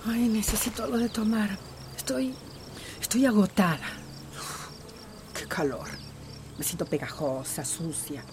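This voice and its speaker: A woman's voice: mid-range voice, unhurried, pauses often, noisy background, lightly acted. An actress playing a stubborn, fiery village woman in a radio drama, with a Central American accent. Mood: exhausted, disgusted.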